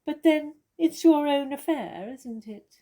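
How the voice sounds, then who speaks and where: A woman's voice: sits high, lively intonation, a little slow, dark tone. A woman playing a worldly, witty famous actress in a stage comedy, with an arch, condescending and theatrical manner. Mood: teasing, self-assured.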